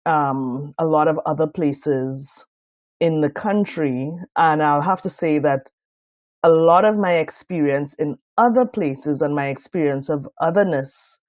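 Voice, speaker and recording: A Trinidadian woman in her fifties, talking as a podcast guest. She is thoughtful and often pauses with fillers. The sound is dry and close. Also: low-pitched, darkish tone, no room sound. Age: 50s. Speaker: guest designer. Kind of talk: reflective podcast interview.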